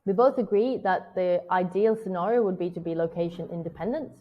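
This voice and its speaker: A woman's voice: fairly low pitch, brisk, dull audio. Swedish woman presenting a webinar. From Sweden. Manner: earnest, personal storytelling. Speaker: virtual event strategist.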